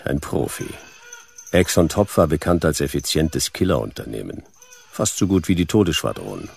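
A man playing a laconic private detective in a noir sci-fi radio play, dry and deadpan, with a gravelly voice. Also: a bass voice, full character voice. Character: laconic, hard-boiled male private detective.

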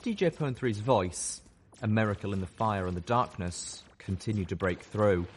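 A man's voice: on the low side, normal speed, a little hiss or hum. A man giving dramatic scripted narration of a story as an audiobook narrator.

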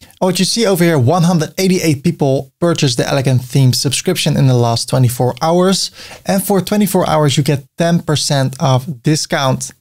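A man's voice: on the high side, moderate pace, darkish tone. A middle-aged man from the Netherlands, a native Dutch speaker with a Dutch accent, hosting a website-building tutorial in a friendly, chatty way, cracking small jokes as he clicks through his screen. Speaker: tutorial host. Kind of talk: screen-recorded software tutorial.

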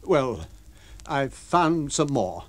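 A man's voice: mid-range voice, big pitch swings, extremely slow, a bit bright, no room sound, exaggerated voice. A man reading a story and voicing a middle-aged country landlady who scolds indignantly in rustic dialect.

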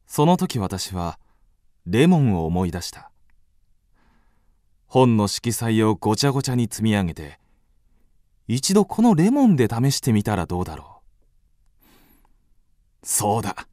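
A man's voice: on the low side, big pitch swings, unhurried, pauses often, warm tone, no room sound, studio quality. An actor playing a moody, melancholic young man with restless fancies, narrating a literary radio drama in the first person. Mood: rising excitement.

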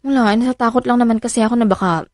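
A woman's voice: on the high side, steady tone, rapid-fire, no echo, studio quality, lightly acted. An actress with a Filipino accent playing an affectionate, anxious single mother in a radio drama, coaxing and conversational. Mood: worried.

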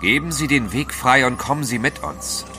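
An actor in a German radio drama playing a resolute, level-headed gentleman adventurer, articulate and commanding. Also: medium pitch, moderate pace, faint music behind, lightly acted. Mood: firm, composed.

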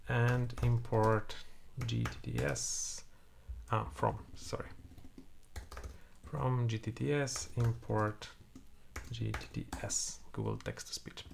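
A man's voice: medium pitch, gravelly, slight background noise. A man in his thirties with a Polish accent, talking through a coding tutorial and thinking aloud with many fillers while he types. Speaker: programming tutor. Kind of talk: screen-recorded coding tutorial. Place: home room, indoors.

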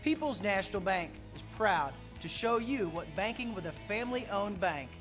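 A man's voice: sits high, lively intonation, normal speed. A man in his thirties reading a scripted bank commercial as its spokesman. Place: office with bookshelves. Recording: music under the voice.

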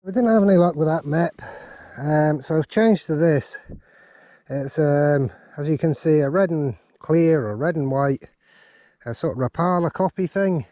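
Mellow, low-key man chatting outdoors. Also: unhurried, dry recording. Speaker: angler presenting a fishing diary. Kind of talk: casual outdoor vlog chat. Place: outdoors on a riverbank.

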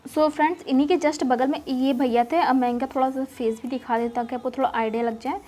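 Woman, high-pitched, casual shopping vlog narration, effusive repetitive delivery. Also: fairly even intonation, fast talker, dark tone, soft background music. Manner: gushing, repetitive praise. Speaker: shopping vlogger.

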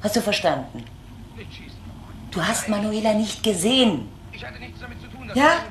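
An actress playing a determined, protective social worker in a radio crime drama, speaking urgently and insistently. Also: female voice, medium pitch, very animated, takes it slowly. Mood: tense, insistent. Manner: urgent, insistent, repeating key phrases. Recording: noisy radio drama recording with ambience.

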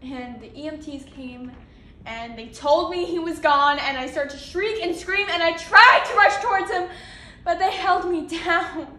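A woman acting a dramatic monologue as a grief-stricken young nurse who blames herself, swinging between flat withdrawal and outbursts. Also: very high-pitched, measured pace, exaggerated voice. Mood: distraught. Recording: echoey room from a distance.

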